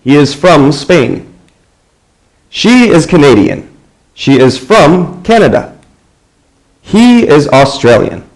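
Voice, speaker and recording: Man in his thirties from the US, English teacher, slightly high voice, lively rising intonation, clear and deliberate. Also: rough recording. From United States. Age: 30s. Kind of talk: scripted classroom narration.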